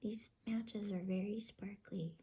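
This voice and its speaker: A woman whispering softly and soothingly in an unhurried ASMR style, as the host of a bedtime reading. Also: medium pitch, fairly even intonation, heavy background noise. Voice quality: breathy whisper.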